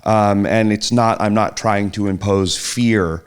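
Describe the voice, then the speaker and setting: A man's voice: fairly low pitch, fairly even intonation, takes it slowly, clear, resonant tone. A middle-aged man in a casual podcast chat, speaking hesitantly with frequent restarts. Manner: hesitant, restarts and trails off, soft-spoken reflection. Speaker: podcast guest, host's partner.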